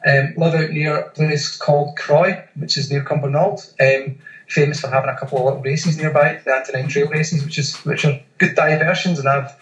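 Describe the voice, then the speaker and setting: A man's voice: medium pitch, fairly bright tone. Scottish man in his forties, roomy and muffled. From Scotland. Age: 40s. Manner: hesitant, many fillers and restarts. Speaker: guest, ultra runner. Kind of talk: casual interview.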